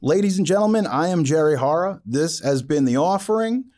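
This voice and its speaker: Lively, higher-voiced man hosting a podcast. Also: fairly quick. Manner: rambling, self-deprecating, swears casually. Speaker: podcast host interviewing a filmmaker. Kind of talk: casual, joking podcast chat.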